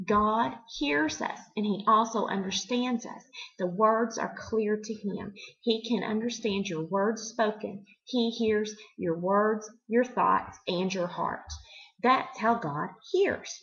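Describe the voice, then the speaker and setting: A middle-aged woman hosting a devotional for children, teaching in simple, expressive words and putting questions to the listener. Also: medium pitch, slow, lo-fi sound. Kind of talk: devotional talk addressed to children, with storytelling.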